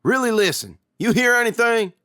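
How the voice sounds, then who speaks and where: A teasing teenage older brother, played by a man reading aloud, drawing out a spooky tale in a slow, low drawl. The tone is bright and lively. The sound is dry and close. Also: no echo. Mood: urgent.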